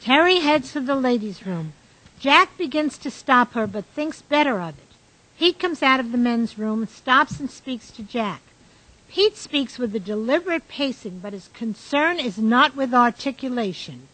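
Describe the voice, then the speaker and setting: A woman's voice: on the high side, expressive, unhurried, pushed and bright, heavily acted, poor audio. A woman reading scripted stage directions as the matter-of-fact narrator of a radio drama. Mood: descriptive.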